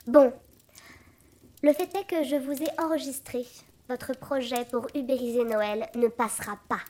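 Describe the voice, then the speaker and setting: An actress playing Santa's sarcastic, confrontational activist daughter in a comedic audio drama. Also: female voice, high voice, steady tone, a little slow, mellow. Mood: sarcastic, defiant. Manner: biting, confrontational. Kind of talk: comedic audio fiction acting.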